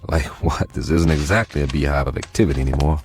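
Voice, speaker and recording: An actor playing a world-weary code breaker who narrates and talks with a dry, wry manner in a radio drama. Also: male voice, extremely low pitch, quick pace, a little mellow, slight background noise.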